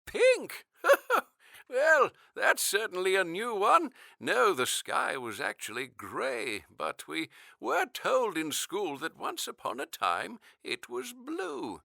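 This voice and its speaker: A very old man with a gravelly creak and slow, halting delivery. His pitch swoops widely as he speaks, courteous, old-fashioned and wistful, in a radio drama interview. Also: wildly swooping pitch, pushed and bright, no room sound, clean studio sound, exaggerated voice. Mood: amused, nostalgic. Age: older. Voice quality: creaky, aged voice. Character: ninety-three-year-old man, gentle Earth-born elder, wistful.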